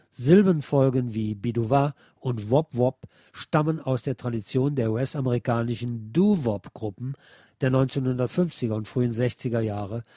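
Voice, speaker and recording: A man reading aloud from a nonfiction book as a radio presenter, in a measured, articulate way. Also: mid-range voice, dark tone, dry recording, clean studio sound. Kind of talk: scripted narration, read aloud from a nonfiction book.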